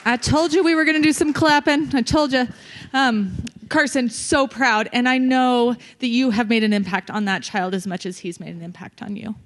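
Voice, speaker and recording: A woman hosts a youth awards event and cheers on the speakers in an upbeat way. Her voice sits a little high for a woman, and she sounds encouraging as she hands over to the next speaker. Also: fairly even intonation, normal speed, few pauses. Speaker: event emcee.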